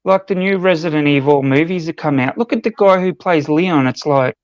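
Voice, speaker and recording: A man with a slightly high voice shares opinionated gripes in a casual podcast chat. His audio is very dry and somewhat muffled. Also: brisk, no echo. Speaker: podcast co-host. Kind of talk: casual panel discussion.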